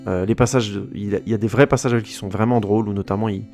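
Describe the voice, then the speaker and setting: A man with a low, gravelly voice talks through a film review in a loose, chatty way. He trips over his words and restarts his sentences often. Soft guitar music plays faintly underneath. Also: steady tone, fast talker. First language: French. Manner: rambling, many restarts and hesitations, informal. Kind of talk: casual spoken film review.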